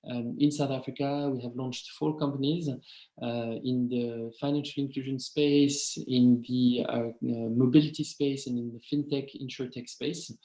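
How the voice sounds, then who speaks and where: A man in his thirties speaks English with a French accent, telling his career story over a video call. He hesitates often and talks in a mellow, fairly monotone voice. The sound is roomy and echoey. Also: medium pitch. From France. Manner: hesitant, many ums and uhs. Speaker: webinar speaker.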